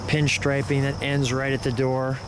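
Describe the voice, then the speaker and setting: A man's voice: medium pitch, monotone, moderate pace. An enthusiastic American man hosting a casual car walkaround, chatting and commenting as he goes. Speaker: host of a car video series. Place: outdoors beside a parked car. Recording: noisy outdoor recording, insects and vehicles.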